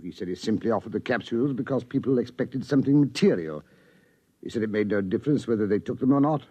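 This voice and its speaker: A man's voice: lowish voice, lively intonation, fast, warm tone, husky, dry recording. A man playing an indignant, dignified English medical chairman, formal and pompous, in 1950s radio drama style. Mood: disdainful. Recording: muffled vintage 1950s radio recording.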